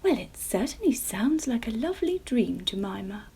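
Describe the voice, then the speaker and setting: A woman playing a gentle mother pumpkin. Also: on the high side, sing-song, moderate pace, breathy edge, pitched above the performer's own voice, full character voice.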